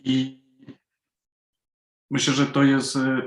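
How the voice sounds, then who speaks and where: Man, from Poland, author interviewee, mid-pitched voice, echoing room. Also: very animated, takes it slowly, gravelly, faint music behind. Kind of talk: answering interview questions at a live event. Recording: roomy, echoing sound.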